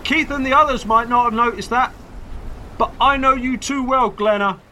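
A man's voice: extremely high pitch, moderate pace, bright, forceful tone, slight background noise, exaggerated voice. A man acting in an audio drama as a worried, suspicious old friend, probing and pointed in his questioning. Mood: accusing. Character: Colin, suspicious, worried male old friend confronting a companion.

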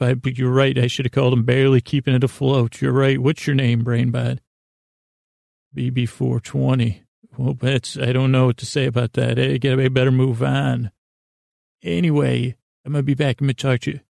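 Male sleep-podcast host, heavy vocal fry, dry close sound; halting, whimsical, self-deprecating rambler. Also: medium pitch, a little mellow, no echo. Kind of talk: rambling bedtime monologue.

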